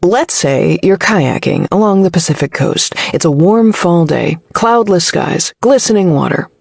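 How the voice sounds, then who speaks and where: A woman's voice: fairly low pitch, lively intonation, a little slow, creaky, a little mellow, slightly rough, dry recording, clean studio sound, full character voice. A woman voicing an impish, teasing man who hosts a podcast in an audiobook novel. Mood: teasing suspense. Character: impish bearded male podcast host, teasing best friend.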